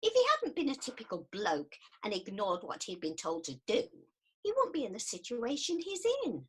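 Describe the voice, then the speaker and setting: A woman's voice: mid-range voice, wildly swooping pitch, moderate pace, breathy edge. A woman playing an earnest, prim and easily offended counsellor in a radio comedy set in ancient Greece. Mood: matter-of-fact. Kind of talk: radio play acting.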